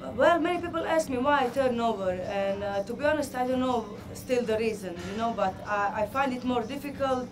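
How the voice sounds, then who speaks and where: Woman boxer chatting in a gym, bit high voice, music playing under. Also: brisk, hardly any pauses, low-quality recording. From Croatia. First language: Croatian. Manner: frank, laughs easily, slightly non-native phrasing. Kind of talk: casual interview. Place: boxing gym, indoors.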